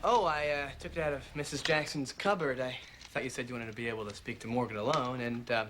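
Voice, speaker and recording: A man's voice: mid-range voice, quick pace, lightly acted. A man playing an awkward, well-meaning young friend in a television drama, hesitant and sardonic. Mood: sheepish. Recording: noisy, distant TV soundtrack.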